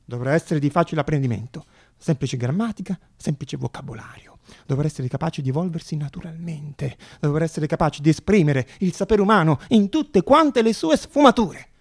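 Un uomo che interpreta un giovane inventore idealista e febbrile, voce roca. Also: male voice, on the high side, lively intonation, brisk, no room sound, clean studio sound. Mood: defiant. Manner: agitated, self-questioning, arguing with himself. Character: idealistic young male inventor, feverishly reasoning aloud to himself. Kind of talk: theatrical monologue radio drama.

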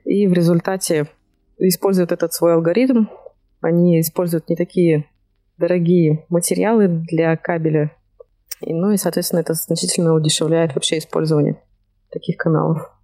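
A woman's voice: on the low side, little pitch movement, moderate pace, dark tone. A woman hosting a podcast, presenting tech news from notes in an explanatory way with some hesitant phrasing. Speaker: podcast host.

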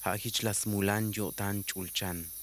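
A man's voice: fairly low pitch, fairly even intonation, measured pace, a bit bright, slight background noise. A man reciting a myth in a ritual style for a radio drama.

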